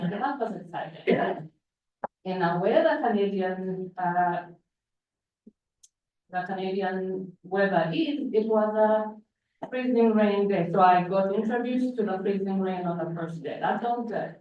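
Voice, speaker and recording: A woman in her forties from Uganda whose first language is Luganda, telling a personal story in a conference speech and often restarting phrases. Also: medium pitch. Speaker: panel speaker. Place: large hall at a conference. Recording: echoey hall from far off, dull.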